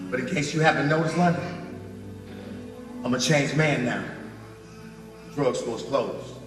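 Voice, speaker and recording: A proud, scornful businessman in a gospel stage play, his voice very high for a man and swinging widely. He leans theatrically on his words with bitter emphasis. It rings through a big echoey hall with music underneath. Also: a bit bright, full character voice. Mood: angry, hurt. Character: bitter, proud self-made businessman confronting his ex-girlfriend.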